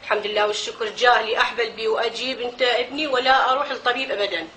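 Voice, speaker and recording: Rapid Iraqi Arabic woman, bright voice. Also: on the high side, slight background noise, low-quality recording. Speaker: interviewee, mother. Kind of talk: recorded interview testimony.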